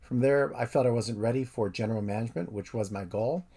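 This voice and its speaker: Man giving a steady course introduction. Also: medium pitch, a little fast, vocal fry. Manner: steady, methodical explainer. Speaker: professor. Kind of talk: recorded course intro lecture.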